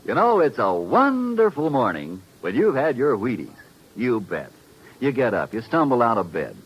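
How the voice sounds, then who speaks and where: A man's voice: medium pitch, huge pitch swings, moderate pace, husky. An American man delivering a 1950s radio commercial with folksy, exuberant sales patter. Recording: dull, band-limited 1950s radio recording.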